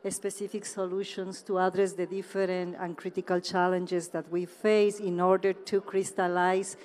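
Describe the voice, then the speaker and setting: A woman speaking on a conference panel in a measured, deliberate way with many fillers and restarts. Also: fairly low pitch, flat delivery, darkish tone. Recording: reverberant conference hall.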